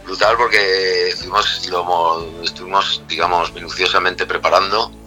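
A middle-aged man from Spain with a rough, cracked voice, talking casually as a rock singer being interviewed, rambling with many restarts and tag questions. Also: low-pitched, level tone, normal speed, pushed and bright, soft background music, rough recording.

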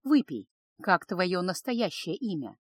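A woman voicing a world-weary, consoling harem woman in an audiobook, gentle and measured. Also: fairly low pitch, sing-song, husky, no echo, heavily acted. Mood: soothing, sad.

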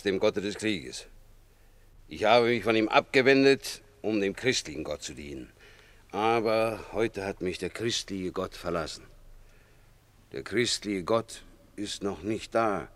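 Deep, solemn, proud warrior. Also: male voice, animated, measured pace, a bit bright, no echo. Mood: grave resolve. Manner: solemn declaiming. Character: proud, solemn male warrior, fearless and devout. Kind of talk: theatrical radio play acting.

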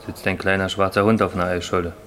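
Thoughtful, rambling workmate with a fairly low voice, radio crime drama, good-natured. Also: male voice, level tone, fast talker, fairly bright tone. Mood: reflective.

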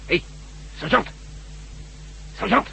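An actor playing a brisk, cautious military general in a Dutch radio drama, with clipped delivery. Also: male voice, bright, forceful tone, slight background noise. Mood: brisk, authoritative.

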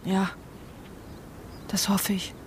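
An actress playing an earnest, troubled young fiancée in a crime radio play, restrained and serious. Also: female voice, extremely slow, a little hiss or hum.

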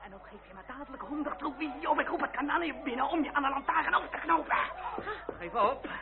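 A very high-voiced manservant in a vintage radio drama. He is obsequious on the surface, grasping and cowardly underneath. The sound is tinny, with heavy noise and crowd clamour behind him. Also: animated, a little fast. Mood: insolent, menacing. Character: manservant, obsequious then insolent and grasping, cowardly when threatened. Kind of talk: old radio drama acting. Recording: tinny, band-limited old radio recording, heavy noise.

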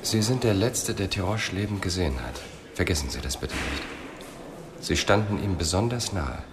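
An actor playing a calm, probing police inspector in a radio drama, measured and insistent. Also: male voice, deep voice. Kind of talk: German radio drama acting. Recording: faint background noise.

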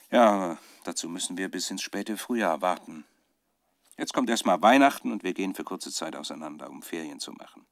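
Low-voiced scientist dictating his diary. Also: no echo, clean studio sound. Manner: halting, searching for words, dramatic shifts from musing to fervour. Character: male scientist keeping an excited, troubled spoken research diary. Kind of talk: radio drama monologue as dictated audio diary.

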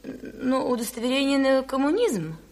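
Naive coquettish lady, high voice, affected drawl, giggly, theatrical radio drama, reverberant studio. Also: expressive, moderate pace, darkish tone. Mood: eager. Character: coquettish, naive, gushing bourgeois lady seeking Party membership.

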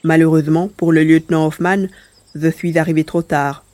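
A man's voice: fairly high pitch, little pitch movement, normal speed, a little mellow, dry recording, natural voice. A man voicing a small, cheerfully boastful furry rodent-like alien with a lisp, in an audio story. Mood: matter-of-fact. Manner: lisping. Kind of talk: audio drama character acting.

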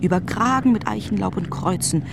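An actor playing an intense, sardonic narrator of war memories in a poetic radio drama. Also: male voice, high-pitched, fairly quick, creaky, noisy background. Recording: music behind the voice.

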